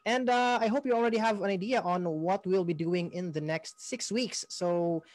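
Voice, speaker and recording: High-pitched Filipino man, halting and casual. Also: no room sound. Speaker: co-host of a school-on-the-air programme, local artist. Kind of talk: casual announcements to participants.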